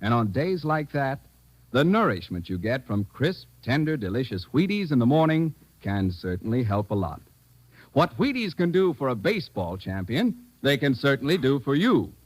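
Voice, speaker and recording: An American man reading a folksy sponsor pitch as a 1940s radio announcer. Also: big pitch swings, normal speed, no room sound, poor audio.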